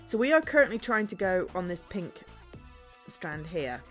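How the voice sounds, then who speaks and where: A woman chatting as she streams a game, a bit hoarse from a sore throat, bubbly and quick to laugh. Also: fairly low pitch, sing-song, a bit bright, faint music behind. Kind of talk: casual game-stream chat.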